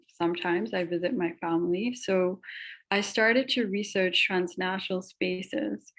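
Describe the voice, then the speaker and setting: A woman presents her art at a panel talk, her voice sitting in an ordinary middle register. She speaks in a reflective, personal way and often pauses on ums and uhs. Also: medium pitch, rough recording.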